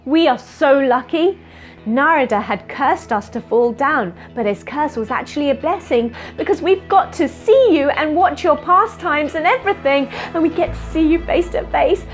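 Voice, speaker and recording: A woman voicing two excited, grateful celestial brothers in a children's story, gushing with gratitude. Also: high-pitched, measured pace, soft background music, pitched above the performer's own voice. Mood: overjoyed, awed.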